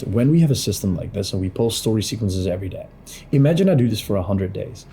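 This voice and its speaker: A man talking through a screen-share tutorial as a business coach in a lowered late-night voice, blunt and pushy, swearing freely. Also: warm tone, slight background noise.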